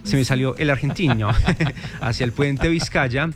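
A man hosting a morning radio traffic show, lively and joking as he reads listener reports. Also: medium pitch, a little slow, music in the background. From Colombia. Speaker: radio host.

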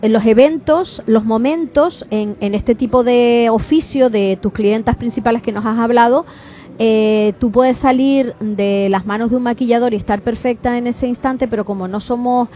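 A woman hosting a radio interview in a lively, talkative way. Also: a little high, normal speed. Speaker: radio host.

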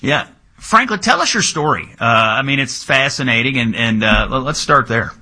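A man's voice: mid-range voice, expressive, normal speed, sharp tone. A man hosting a talk radio show, speaking in an emphatic, opinionated way.

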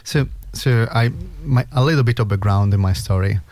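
A man's voice: mid-range voice, moderate pace, a little mellow, no room sound. A middle-aged man with a strong Italian accent, a researcher interviewed as a guest, speaking earnestly with many hesitations. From Italy (Sicily).

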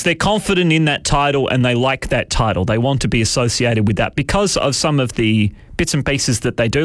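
Hoarse raspy-voiced male radio host. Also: mid-range voice, a bit bright, dry recording, studio quality. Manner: conversational, explanatory, measured. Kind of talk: talk radio monologue. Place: radio studio.

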